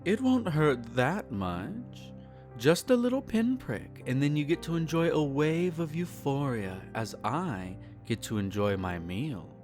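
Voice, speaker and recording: Man playing a slow, possessive vampire gentleman. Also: on the high side, lively intonation, faint music behind. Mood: hungry, coaxing. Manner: formal, silky, teasing endearments. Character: possessive, teasing, courtly male vampire, centenarian yet youthful. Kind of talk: intimate roleplay audio, scripted character acting.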